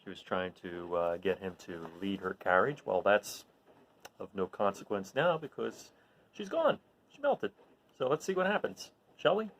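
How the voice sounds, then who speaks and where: A man reads a storybook aloud to his listeners. His delivery is animated and very varied in pitch, and his voice has a creaky, gravelly edge. He tells the tale expressively, as if to children. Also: moderate pace. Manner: animated, recapping with questions to listeners. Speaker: storyteller reading aloud to an audience.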